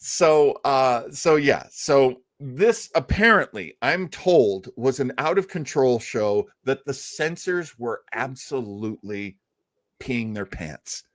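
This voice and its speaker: A man's voice: mid-range voice, animated, slow. Chatty man hosting a casual show. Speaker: host. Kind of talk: casual live show chat about old-time radio.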